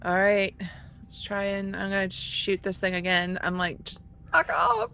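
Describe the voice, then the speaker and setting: A woman's voice: mid-range voice, completely flat delivery, moderate pace, fairly bright tone, slight background noise. A woman playing a tabletop role-playing game, chatting casually.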